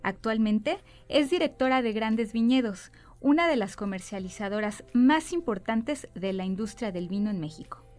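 A woman's voice: a little high, animated, normal speed, darkish tone. A woman with a Mexican Spanish accent hosts a conversational podcast interview.

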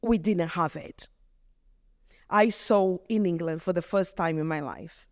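A middle-aged woman with a Brazilian Portuguese accent, speaking in English as a vicar. She gives an earnest, halting testimony and often restarts her phrases. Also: on the low side, dry recording, studio quality. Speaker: vicar, guest. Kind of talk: reflective testimony in conversation.

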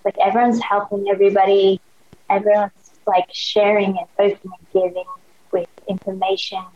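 A woman's voice: medium pitch, level tone, moderate pace, darkish tone. Chatty Australian woman, slangy and eager. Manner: enthusiastic, slangy, many "like" fillers. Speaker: podcast guest. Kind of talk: casual chat. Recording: dull lo-fi sound.

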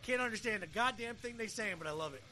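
A man with a notably high, bright voice hosts a casual podcast chat. He talks in a jokey, slangy, unfiltered way and swears freely. There is faint noise behind him. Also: lively intonation, fast. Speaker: podcast host.

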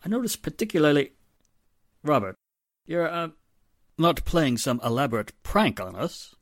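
An actor playing a middle-aged, polite and hesitant wealthy father with a measured manner in a 1940s-style radio drama. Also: on the high side, sing-song, no room sound, studio quality. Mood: hesitant, insinuating.

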